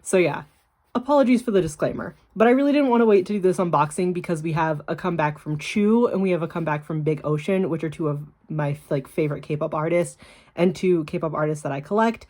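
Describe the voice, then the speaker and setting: Hoarse young woman, blunt and laughing. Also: sits low, quick pace, a little mellow. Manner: blunt, swears freely, laughs often. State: hoarse from illness. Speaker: YouTuber. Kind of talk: casual unboxing vlog with urgent appeal.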